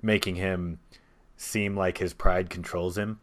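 A man with a deep, low voice leads a casual podcast chat. He talks conversationally about films as the host of the discussion. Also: little pitch movement, takes it slowly, fairly bright tone.

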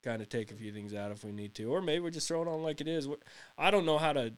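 A man's voice: fairly high pitch, fast, smooth. A man in his late thirties co-hosting a casual podcast, rambling and laughing often as he chats. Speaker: podcast co-host. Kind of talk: casual chat.

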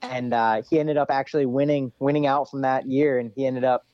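A man's voice: medium pitch, steady tone, fairly quick, no echo, highs cut off. A young man, an ATV racer, talking on a podcast in a laid-back, rambling way, full of "you know" and "dude". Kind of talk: casual podcast interview.

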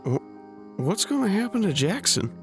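An actor playing an earnest, concerned young companion in an audio drama, speaking hesitantly and questioningly. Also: male voice, sits high, very animated, normal speed, faint music behind. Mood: anxious, caring.

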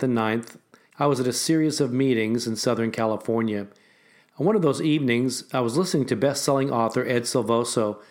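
A man hosting a ministry podcast, giving an earnest, measured, prepared introduction and listing his points in series. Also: mid-range voice. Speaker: podcast host.

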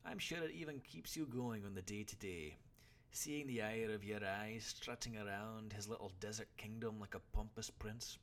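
A man's voice: lowish voice, moderate pace, fairly bright tone, pitched above the performer's own voice. A man voicing a stoic, blunt older warrior mentor who scolds his pupil in an audiobook story. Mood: blunt, measured.

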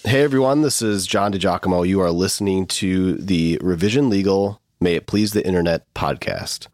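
A man's voice: deep voice, moderate pace, darkish tone, no room sound. A man with a Midwestern accent from Michigan hosting a casual legal podcast, easygoing and joking. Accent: Midwestern American. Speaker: podcast host.

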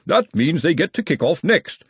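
A man voicing a wheezing, unflappable elderly former dean calmly explaining the rules, in an audiobook. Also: fairly high pitch, normal speed, breathy edge, no echo, pitched above the performer's own voice, exaggerated voice. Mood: patient.

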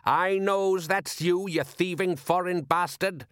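A man voicing a gruff, hot-tempered middle-aged fisherman father in an audiobook, blustering and snapping in rustic speech. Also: medium pitch, measured pace, a bit bright, no room sound, studio quality. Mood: angry, blustering.

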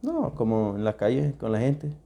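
English man speaking halting learner's Spanish. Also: medium pitch, wildly swooping pitch, creaky, mellow. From England. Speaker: podcast co-host. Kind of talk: casual chat.

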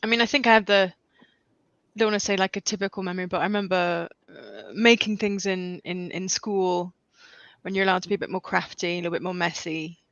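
A woman's voice: lowish voice, brisk, creaky, fairly bright tone, no room sound. Young woman guest, wry and self-deprecating, dull lo-fi call audio. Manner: self-deprecating, laughing, many fillers. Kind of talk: casual video-call chat.

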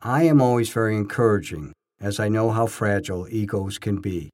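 A man's voice: on the low side, normal speed, a little mellow. A man reading scripted first-person narration, telling his own experiences as a narrator. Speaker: narrator, bodybuilding judge.

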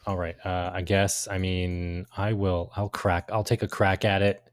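Low-voiced man, close dry sound. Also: little pitch movement, measured pace, no room sound. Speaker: podcast co-host. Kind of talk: casual lore discussion.

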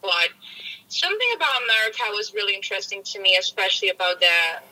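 A woman's voice: medium pitch, big pitch swings, fast, sharp tone, poor audio. A woman from Poland with a Polish accent, a music producer guest in a casual interview, earnest and often searching for words.

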